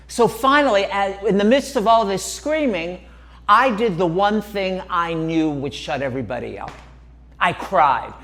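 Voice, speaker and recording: A man tells a comic family story on stage in a one-man show. His delivery is animated, with pitch swinging widely. He paces it slowly, leaning into each beat. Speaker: solo performer. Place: gallery stage.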